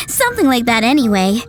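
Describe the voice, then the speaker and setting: A young flower shop girl in an anime game. Her voice is high and very lively in pitch, sweet, polite and chirpy. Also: very noisy. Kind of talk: anime game dialogue acting.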